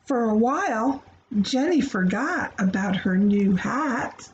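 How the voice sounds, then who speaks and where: Female children's read-aloud host, very lively intonation, unhurried, speaks directly to young listeners. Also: fairly high pitch, dull audio, a little hiss or hum. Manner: expressive, playful, addressing children directly. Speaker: children's storybook reader. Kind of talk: animated picture-book read-aloud with asides to young listeners.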